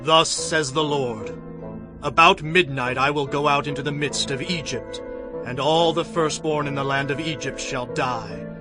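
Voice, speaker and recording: An actor playing a stern, unyielding Hebrew prophet in a dramatized audio Bible, speaking in a solemn, firm, declamatory way. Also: male voice, on the high side, a little slow, over music, natural voice. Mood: solemn warning.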